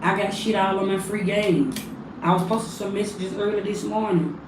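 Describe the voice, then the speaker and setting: A woman with a raspy, hoarse voice, chatting loosely on a livestream as a spiritual reader and calling her viewers family. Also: mid-range voice, moderate pace, noisy background. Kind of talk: casual livestream chat. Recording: echoey room, mic at a distance.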